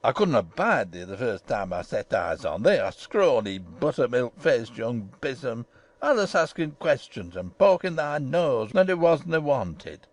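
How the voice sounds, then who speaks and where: A woman acts out a grumpy old Yorkshire gardener for a storybook. The low voice swings wildly up and down as he blusters and scolds indignantly. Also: sing-song, a little slow, exaggerated voice. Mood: scolding. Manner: blustering, haranguing. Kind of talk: audiobook character voice.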